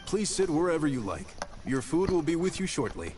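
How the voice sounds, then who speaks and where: An actor playing a proud, good-natured restaurant chef in an anime video game, speaking theatrically. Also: male voice, on the high side, sing-song, fairly quick, a little mellow. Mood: obliging. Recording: game music behind the voice.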